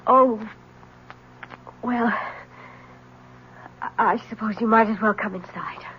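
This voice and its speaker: An actress playing a troubled, guarded wife in a 1970s radio drama, pleading and evasive in a formal way. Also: female voice, a little high, slow. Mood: uneasy, guarded. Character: guarded, troubled young wife warning a man away. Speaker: actor. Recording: dull, hissy old radio recording.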